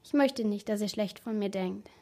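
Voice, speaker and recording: A woman's voice: medium pitch, normal speed, darkish tone, natural voice. A woman voicing a nervous young teenage schoolgirl who confides earnestly in an audiobook story. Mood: confiding, pleading. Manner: earnest, confiding, half-whispered. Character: excited, nervous schoolgirl of about thirteen, secretive.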